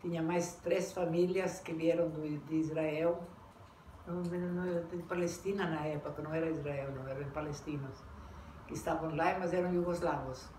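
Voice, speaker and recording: An older woman being interviewed, recounting her memories in a halting way with many restarts and repeated words. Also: low-pitched, steady tone, warm tone. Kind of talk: oral history recollection. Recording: echoey room, mic far off, noisy.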